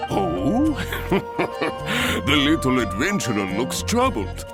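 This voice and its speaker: Boastful guild master adventurer, video game, hugely swooping pitch, very drawn-out delivery, hearty. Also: male voice, wildly swooping pitch, exaggerated voice. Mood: hearty, curious. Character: jovial, grandiose seasoned male adventurer guild master. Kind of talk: video game character acting. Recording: game music behind the voice.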